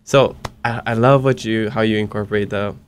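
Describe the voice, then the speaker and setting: A man's voice: lowish voice, fairly even intonation, smooth. A man whose first language is Hawaiian, hosting a casual interview and talking in an earnest, encouraging way. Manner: earnest, encouraging, quick asides. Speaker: podcast host.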